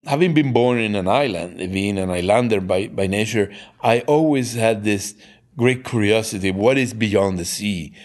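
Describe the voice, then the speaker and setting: A man's voice: on the low side, moderate pace. A man from Cuba who works as a chemistry professor, telling an interviewer how he came to love languages with eager enthusiasm. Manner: animated, enthusiastic. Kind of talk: interview storytelling.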